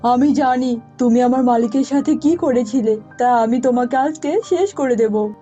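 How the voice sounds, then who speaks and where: A woman's voice: fairly high pitch, moderate pace, warm tone, natural voice. A woman voicing a vengeful witch cow in a cartoon, accusing and threatening, in a dull recording with music behind.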